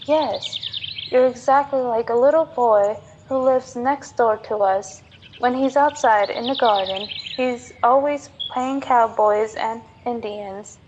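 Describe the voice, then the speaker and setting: A woman's voice: fairly high pitch, slow, noisy background. A woman as a frank, trusting young minister's daughter. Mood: frank, earnest. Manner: earnest, plain-spoken, fearless. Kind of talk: student radio drama acting.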